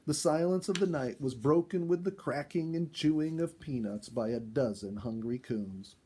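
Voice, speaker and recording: Husky, mellow man telling a story. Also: fairly high pitch, normal speed. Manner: expressive, acts out dialogue. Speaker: storyteller, reader. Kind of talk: animated audiobook-style narration of a first-person animal story.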